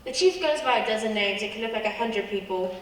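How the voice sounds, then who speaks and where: A woman's voice: mid-range voice, fast talker, fairly bright tone, slight background noise, close to an everyday voice. A woman playing a secretive, frightened foreign secret agent in a radio play. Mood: urgent, secretive. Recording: very echoey hall, distant mic.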